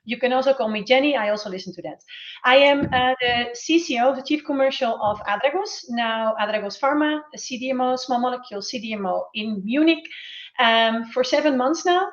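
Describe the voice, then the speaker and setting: A woman's voice: fairly high pitch, muffled recording. A woman in her forties with a Dutch accent, introducing herself on a panel with frequent restarts and fillers. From Netherlands. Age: 40s. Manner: halting, many restarts and fillers. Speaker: panel guest, pharma executive.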